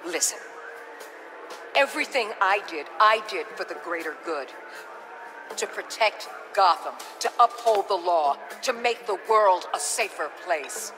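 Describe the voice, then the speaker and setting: A woman's voice: medium pitch, animated, unhurried, over music, natural voice, low-quality recording. A woman playing a hard-nosed government agency chief in a video game, blunt, authoritative and combative. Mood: defensive. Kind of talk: dramatic video game acting.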